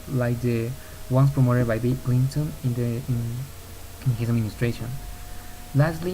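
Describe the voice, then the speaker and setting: Earnest young man from Ecuador, mellow. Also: medium pitch, poor audio. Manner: earnest, steady reading with occasional slips and restarts. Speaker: podcaster. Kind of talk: read-aloud argumentative podcast. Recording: faint hiss on a home recording.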